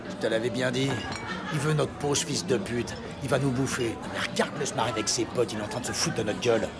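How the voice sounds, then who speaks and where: An actor playing a jittery, worried cop who swears in agitation in a French audio drama. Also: male voice, mid-range voice, fairly even intonation, fast, a loud crowd, close to an everyday voice. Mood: panicky. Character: anxious cop partner, jittery, worried for his badge. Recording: loud music over the voice.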